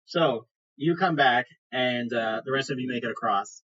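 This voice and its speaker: A man runs a tabletop fantasy game, narrating casually. His voice is husky with a forceful, sharp edge. The recording is slightly dull. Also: medium pitch, moderate pace. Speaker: game master.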